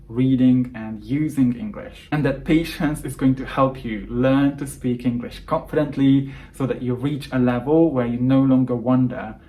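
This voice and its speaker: A young man, a native Hungarian speaker, coaching English learners through calm, earnest advice in a scripted monologue. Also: medium pitch, poor audio.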